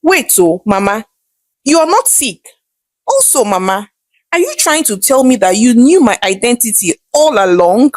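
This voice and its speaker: An apologetic Nigerian husband from a folktale, read by a woman. The delivery is earnest and imploring, with an expressive lilt in a dry recording. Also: fairly high pitch, moderate pace, dry recording. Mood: shocked. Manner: earnest, pleading. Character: apologetic, deceitful Nigerian husband, pleading gently.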